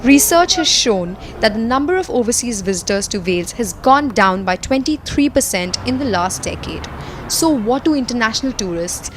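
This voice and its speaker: A woman reporter giving measured, scripted documentary narration, partly recorded outdoors at a quarry site. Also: a little high, lively intonation, slight background noise.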